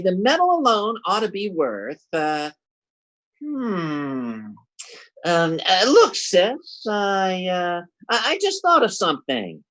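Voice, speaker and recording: A man's voice: high voice, sharp tone, full character voice. A man playing a bumbling, scheming husband in classic radio comedy, with a folksy, stammering, blustering delivery.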